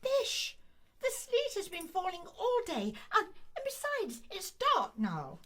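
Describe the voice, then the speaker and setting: An actress playing a sensible, sarcastic teenage younger sister in a radio play, speaking in a dry, scolding manner. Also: female voice, extremely high pitch, wildly swooping pitch, slow pace, fairly bright tone, a little hiss or hum, exaggerated voice.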